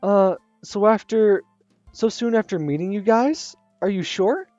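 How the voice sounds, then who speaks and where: A man's voice: high-pitched, a little slow, darkish tone, dry recording, highs cut off, soft background music, pitched above the performer's own voice. A man voicing a shy, timid teenage schoolgirl who stammers and hesitates. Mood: hesitant.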